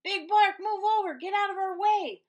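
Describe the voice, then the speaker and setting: A woman voicing excitable, squabbling little prairie dogs from a storybook in short exclamations. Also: extremely high pitch, normal speed, lo-fi sound, pitched above the performer's own voice. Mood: greedy, excited.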